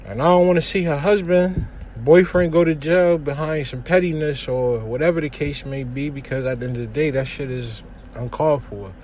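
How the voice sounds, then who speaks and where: A young man from hosting a casual solo podcast from his kitchen, giving news commentary in a relaxed, slangy way with lots of shout-outs. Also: a little high, normal speed, a little hiss or hum, low-quality recording. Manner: laid-back slangy talk with frequent shout-outs. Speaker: podcast host.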